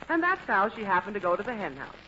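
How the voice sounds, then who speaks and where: A woman's voice: medium pitch, big pitch swings, fast, bright, forceful tone. A woman giving scripted, wry and playful comic narration for a vintage radio show. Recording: muffled, noisy old radio recording.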